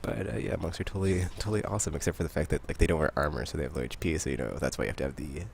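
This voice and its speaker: A man hosting a podcast, chatting about games in a rambling, excitable way. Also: very deep voice, very fast, vocal fry, breathy edge. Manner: rambling, excitable, laughs often. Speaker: podcast host. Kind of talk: casual gaming chat.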